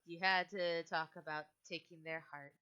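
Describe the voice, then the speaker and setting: A woman's voice: on the low side, fairly even intonation, moderate pace, pushed and bright, clear, resonant tone, muffled recording. A woman running a tabletop game as game master, casually switching between narration and rules talk in an informal way.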